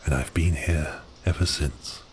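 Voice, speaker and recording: A man playing a giant cursed sea beast prince in an ASMR audio roleplay, speaking in grand archaic phrases with a teasing, theatrical manner and a breathy voice that dips into whispers. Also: very deep voice, steady tone, a little slow, slight background noise. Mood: wistful, resigned.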